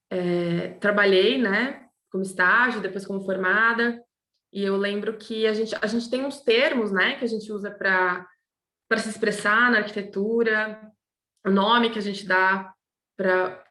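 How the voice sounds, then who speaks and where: A woman's voice: mid-range voice, pushed and bright. A woman from Brazil, an architect, talking casually and thoughtfully with many fillers and restarts. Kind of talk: casual recorded talk to students. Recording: echoey room.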